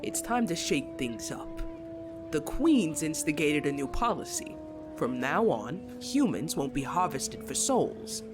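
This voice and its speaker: An actor voicing a sardonic, menacing monster with a deadpan delivery in a comic dub. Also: mid-range voice, measured pace. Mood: grim, sardonic. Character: sardonic, menacing monster announcing grim new rules. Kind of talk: dramatic scripted acting. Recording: background music under the voice.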